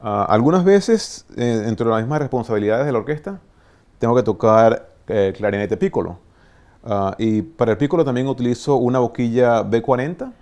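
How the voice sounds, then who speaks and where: A man in his thirties from Venezuela with a Venezuelan Spanish accent, an orchestral clarinetist introducing himself to camera in a small shop studio, speaking unhurriedly with many pauses and fillers. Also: medium pitch, lively intonation, darkish tone. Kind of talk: relaxed self-introduction to camera.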